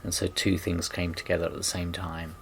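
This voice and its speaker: A man with a low, heavily creaky voice talks informally as a writer being interviewed. There is faint noise in the background. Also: flat delivery, brisk, a bit bright. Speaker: scriptwriter. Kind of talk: informal behind-the-scenes interview.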